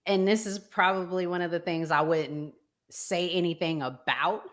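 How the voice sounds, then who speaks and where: A woman hosting a casual discussion, talking in a measured, deliberate way with pauses. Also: lowish voice, fairly even intonation. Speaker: host.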